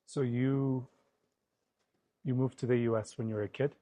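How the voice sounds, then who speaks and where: A man interviewing a guest, asking brief, gentle prompting questions. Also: mid-range voice, steady tone, normal speed, dry recording.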